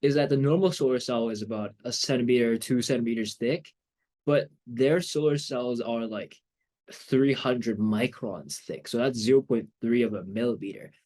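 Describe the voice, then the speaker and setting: A man's voice: mid-range voice, normal speed, a little mellow. A young man hosting a student podcast in an upbeat casual style, often saying "absolutely". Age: young adult. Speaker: podcast host.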